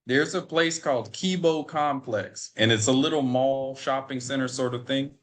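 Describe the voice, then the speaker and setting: An American man in his twenties, a podcast guest on a video call, telling a story in an animated way that repeats and restarts phrases. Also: mid-range voice, moderate pace. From United States. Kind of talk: storytelling in a remote interview.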